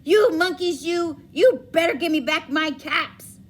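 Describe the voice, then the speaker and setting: A woman's voice: extremely high pitch, a little slow, slight background noise, pitched above the performer's own voice. A woman voicing a male cap peddler in a picture book, with an emphatic, exclaiming delivery. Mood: angry scolding. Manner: emphatic, stammering repetition when scolding. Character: male cap peddler, gentle caller turning exasperated and scolding.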